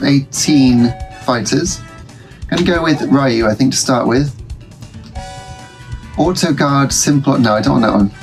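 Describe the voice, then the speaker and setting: A man hosts his retro gaming channel, chatting his way through a match at a mid-range pitch. He sounds bunged up from a cold and rambles with ums and restarts. Game music plays clearly behind his talk. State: congested from a cold. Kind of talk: casual let's-play commentary.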